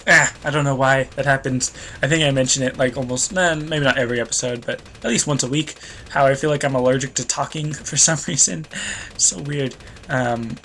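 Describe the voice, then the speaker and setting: Bright-voiced rambling young gamer guy. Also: medium pitch, fairly quick, a little hiss or hum. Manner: rambling, loses train of thought, self-deprecating. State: stuffy nose, voice a bit worn. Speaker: let's play host. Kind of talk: rambling gameplay commentary.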